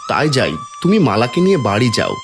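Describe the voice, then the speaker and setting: A man's voice: mid-range voice, wildly swooping pitch, quick pace, music in the background. A man voicing a poor, kind-hearted village farmer, plaintive and earnest, in a cartoon folk tale. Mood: sorrowful. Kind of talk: Bengali cartoon fairy tale acting.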